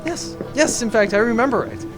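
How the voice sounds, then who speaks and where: A man's voice: extremely high pitch, animated, brisk, music in the background. A man playing a sulky, cowardly, introverted accountant, hesitant and grumbling, in an audio drama. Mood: defensive, awkward.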